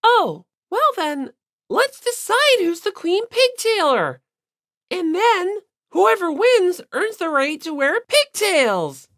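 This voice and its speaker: A cheerful teenage girl acted by a man for an audiobook. The voice is high with lively swings, eager to placate and keep the peace. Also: slow pace, dry recording, studio quality, heavily acted. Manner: bright, placating.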